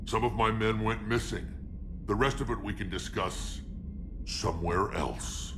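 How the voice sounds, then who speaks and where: An actor playing a gruff, battle-hardened alien warlord in a video game, proud and wry, with blunt delivery and a growling voice. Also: male voice, a little slow, distant mic, music in the background, close to an everyday voice. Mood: grumbling. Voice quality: deep gravelly growl. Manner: blunt, sardonic, weighty delivery. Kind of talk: video game character acting.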